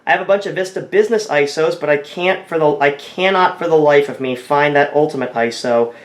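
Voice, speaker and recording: A man's voice: fairly high pitch, brisk, roomy sound. A man casually commenting on his own screen recording, thinking aloud, rambling and often correcting himself. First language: English. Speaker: tech video creator.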